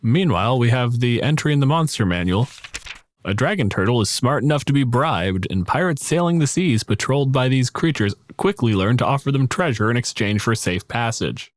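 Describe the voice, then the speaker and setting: Man, middle pitch, casual podcast host, dry echo-free recording. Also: animated, a little fast. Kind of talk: casual discussion.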